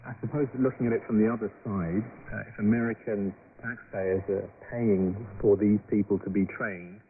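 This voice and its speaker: A male radio presenter handles a news interview in a fairly low voice. The sound is narrow and tinny, as on a shortwave receiver, with clear static running through it. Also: steady tone, normal speed. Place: radio studio.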